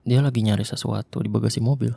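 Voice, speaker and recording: A young man performing an ASMR roleplay monologue in casual slangy speech, tense and hushed at times, close to the mic. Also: mid-range voice, steady tone, quick pace, creaky, breathy edge, dry recording. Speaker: voice actor.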